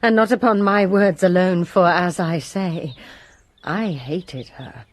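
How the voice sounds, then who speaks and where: A woman's voice: lowish voice, slow pace. A woman playing a resentful lady's maid who gives her testimony with bitter emphasis in a radio drama.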